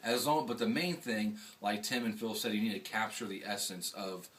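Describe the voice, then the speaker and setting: A man's voice: fairly low pitch, moderate pace, fairly bright tone. A man hosting a casual video blog, chatting and commenting in an emphatic way with rhetorical questions. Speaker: host. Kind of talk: casual vlog commentary and chat. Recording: distant mic, faint background noise.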